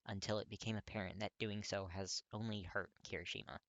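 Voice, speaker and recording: A man reading fiction aloud as an expressive audiobook narrator. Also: lowish voice, a little fast, no echo. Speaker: podfic narrator.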